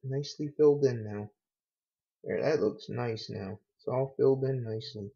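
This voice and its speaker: Unhurried man, dull lo-fi home recording. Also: mid-range voice, vocal fry, dark tone, husky. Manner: unhurried, folksy, talking through each step. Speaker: hobbyist demonstrating a repair. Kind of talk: casual how-to narration.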